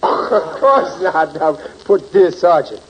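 Casting: bumbling, boastful New husband, highish animated voice, old muffled radio comedy. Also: male voice, slow pace. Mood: fussing, amused. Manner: flustered stammering, boastful. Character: blustering, bumbling working-class husband and father. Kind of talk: 1940s radio comedy acting. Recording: muffled, hissy 1940s radio recording.

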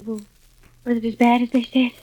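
A woman's voice: on the high side, little pitch movement, fairly quick, warm tone, no echo, natural voice. A woman playing an anxious, evasive wife in a mid-century radio drama, guarded and placating in manner. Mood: guarded, uneasy. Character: anxious evasive wife secretly fascinated by fire. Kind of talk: 1940s-50s radio drama acting. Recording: muffled dry vintage radio recording.

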